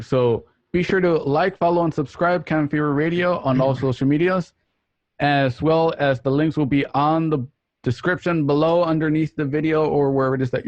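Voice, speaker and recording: A man's voice: fairly high pitch, lo-fi sound. A man hosting a show, introducing it in an announcer-like way with casual asides, recorded at home. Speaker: host. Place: at home, remote ensemble session.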